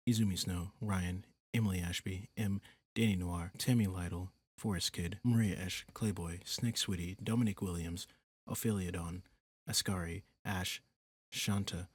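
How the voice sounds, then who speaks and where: A man with a slightly low, husky voice reads a scripted outro as an audio creator. He goes through thanks and names in a very dry recording. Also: no echo.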